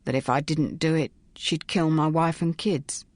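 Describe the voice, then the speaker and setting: A woman reading as a scared young constable, halting and pleading, in a low, dry voice. He sounds cornered and tearful. It is a spoken storybook. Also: fairly even intonation, a little mellow, no echo. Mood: fearful.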